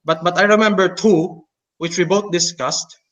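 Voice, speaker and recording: A man speaking with a Chinese and Tagalog accent, his voice sitting fairly high. He stops and restarts often, filling gaps with "uh" while laying out his rebuttal on a casual podcast call. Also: steady tone, moderate pace, a bit bright. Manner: hesitant, many fillers and restarts, mocking laughs. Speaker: co-host.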